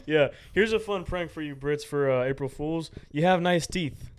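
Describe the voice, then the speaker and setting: A young man from the United States with a somewhat high voice, chatting casually on a podcast. He keeps it loose and slangy and tosses in constant "yeah"s while his co-host talks. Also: moderate pace, clean tone. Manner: laid-back, lots of "yeah" and slang. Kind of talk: casual chat.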